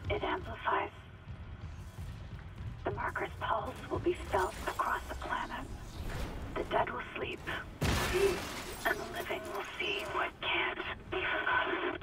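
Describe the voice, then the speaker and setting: An actress playing an eerily serene, devoted woman in a video game, speaking in slow, cryptic, portentous lines. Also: lively intonation, exaggerated voice. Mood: haunting, wistful. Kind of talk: video game drama acting. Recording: music and ship rumble behind, partly distant. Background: music, engine rumble.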